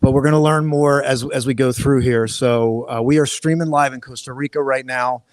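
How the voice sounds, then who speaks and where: A man's voice: medium pitch, fairly even intonation, brisk, no room sound. Rambling male podcast host, dry sound. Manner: loose, rambling delivery with restarts. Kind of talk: live-streamed podcast interview. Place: outdoor resort near waterfalls. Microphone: live-stream setup.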